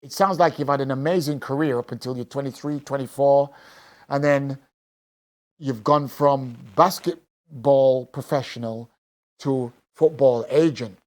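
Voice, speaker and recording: A man's voice: medium pitch, unhurried, a little mellow. A man presenting a local television interview, asking plain, stacked questions.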